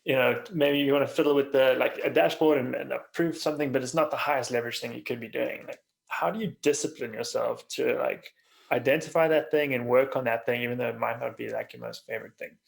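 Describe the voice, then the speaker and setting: A man's voice: medium pitch, steady tone, fast talker, creaky. A man hosting a podcast in a casual interview style, feeling his way through his questions.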